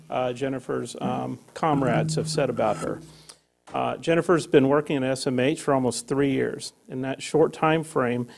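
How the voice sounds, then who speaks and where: A man's voice: medium pitch, creaky, darkish tone. A man presenting an employee award at a public meeting, reading the citation aloud with small fillers. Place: meeting room.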